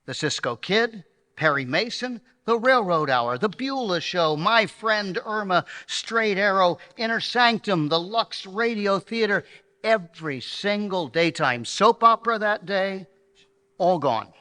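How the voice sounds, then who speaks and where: Bright, forceful man presenting in a hall. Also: high-pitched, expressive, unhurried. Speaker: presenter and member of a radio re-creation troupe. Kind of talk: introducing a live show to an audience. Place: live stage at a banquet hall. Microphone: stage microphone. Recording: reverberant hall sound.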